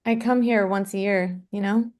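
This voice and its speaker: A woman's voice: mid-range voice, steady tone, normal speed, a little mellow, lightly acted. A woman playing a grieving but resilient mother, earnest and tender, in a dramatic table read. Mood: wistful. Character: grieving mother, tender and resilient toward her estranged husband.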